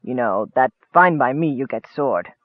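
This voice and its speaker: A man voicing a wary, crafty male goblin who talks low and cautiously in broken grammar. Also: lively intonation, normal speed, no room sound, phone-quality sound, close to an everyday voice. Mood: cautious, sly.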